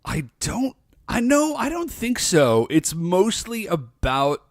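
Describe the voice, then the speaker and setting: A man's voice: high-pitched, sing-song, slow pace, vocal fry, dry recording, studio quality. A man co-hosting a podcast, chatting casually in a playful, teasing way. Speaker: podcast co-host. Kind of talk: casual chat. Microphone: recording earphones, studio mic.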